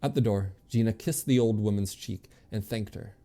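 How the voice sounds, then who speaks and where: A man's voice: on the low side, normal speed, mellow. A man streaming a game, reading its text aloud with casual asides to his viewers. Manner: stumbles over names and corrects himself.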